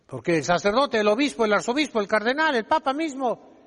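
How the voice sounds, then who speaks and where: A man's voice: very high-pitched, very animated, a little fast, a bit bright. A man preaching a sermon as a priest, emphatic and didactic, putting rhetorical questions to his listeners. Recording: long reverberant echo of a large hall.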